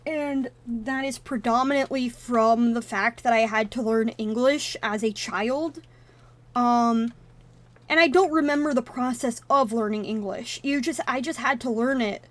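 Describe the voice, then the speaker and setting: A woman's voice: a little high, unhurried. A woman whose first language is Russian, casually commenting on a game on a live stream and thinking aloud as she wanders into tangents.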